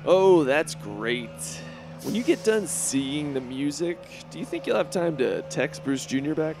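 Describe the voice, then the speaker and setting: An actor playing a boastful, cowardly young superhero who talks in a self-serving, blustery and panicky way. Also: male voice, fairly high pitch, animated, a little slow, creaky, over music.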